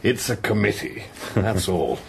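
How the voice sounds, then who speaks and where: A troubled middle-aged priest in a radio play, low voice, halting and apologetic, noisy background. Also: male voice, lively intonation. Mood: apologetic, uneasy. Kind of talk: radio drama acting with first-person narration. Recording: clear background ambient noise.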